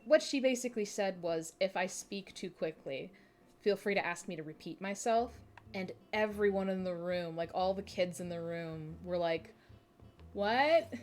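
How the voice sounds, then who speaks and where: A woman in her twenties with a Midwestern American accent, chatting casually and giggling as she streams a game. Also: mid-range voice. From Minnesota, USA. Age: 20s. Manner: chatty, giggly, self-deprecating. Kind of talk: casual live-stream chat while playing.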